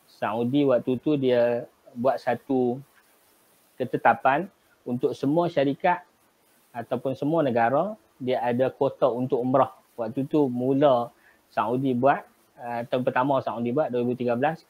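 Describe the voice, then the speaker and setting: A middle-aged man from Kedah in Malaysia with a northern Malaysian Malay accent, a guest telling his business story in a measured way, with pauses and fillers. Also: mid-range voice. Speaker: guest, travel agency business owner. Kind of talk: remote interview storytelling. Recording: dull lo-fi live stream audio.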